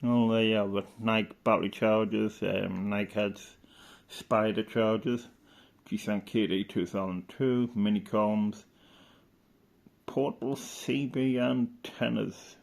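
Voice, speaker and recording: A man's voice: on the low side, fairly even intonation, slow pace, vocal fry. A man giving casual, rambling commentary as he reads through an old catalogue, hesitant and thinking aloud. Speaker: video presenter.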